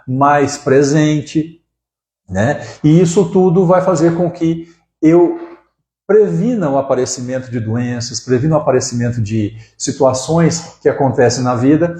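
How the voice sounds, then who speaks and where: Brazilian guy in his 40s doing a live chat, kinda higher voice, echoey room. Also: dark tone. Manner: conversational, frequent "né?" tags and restarts. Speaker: acupuncturist hosting a live stream. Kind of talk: casual live talk.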